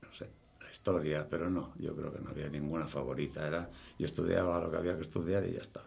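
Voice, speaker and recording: Older man, early 70s, Castilian accent, deep and very gravelly, halting, informal recollections. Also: fairly even intonation, a little mellow. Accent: Castilian Spanish. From Spain. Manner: halting, repeats words as he recalls. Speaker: interviewee. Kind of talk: casual reminiscing.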